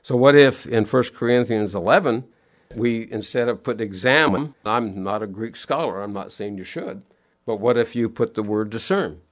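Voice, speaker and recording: A middle-aged man delivers a sermon in a lowish voice, his tone nasal and sniffly from allergies. He is earnest and plain-spoken, circling back to repeat his key words. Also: normal speed. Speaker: preacher.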